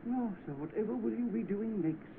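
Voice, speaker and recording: Higher-voiced deferential manservant. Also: male voice, a little fast. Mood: earnest, apologetic. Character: deferential, excuse-making manservant in an old film. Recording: tinny, noisy old film soundtrack.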